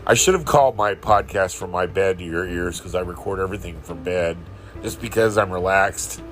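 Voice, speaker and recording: A man's voice: sits low, normal speed, fairly bright tone, a little hiss or hum. A man hosting his own podcast in casual, rambling solo talk, with a just-woken morning voice, recording from bed at home. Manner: rambling, self-deprecating, chuckling. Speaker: podcast host.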